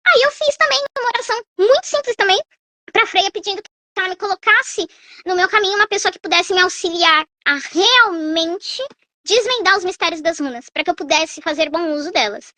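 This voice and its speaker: A woman's voice: very high voice, fairly quick, sharp tone, no room sound. A Brazilian woman, a guest oracle reader, explaining patiently on a live stream with everyday analogies and frequent tag questions. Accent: Brazilian Portuguese.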